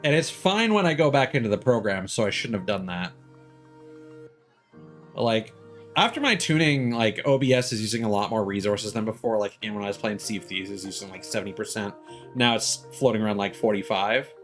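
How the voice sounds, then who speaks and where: A man giving casual live game stream commentary in a rambling, halting, mildly griping way. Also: lowish voice, animated, fairly bright tone, faint music behind.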